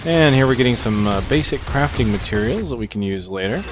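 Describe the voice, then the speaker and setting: A man's voice: mid-range voice, expressive, fairly quick. A man giving casual gameplay commentary as a video host, informal and thinking aloud. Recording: faint game music behind. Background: game music.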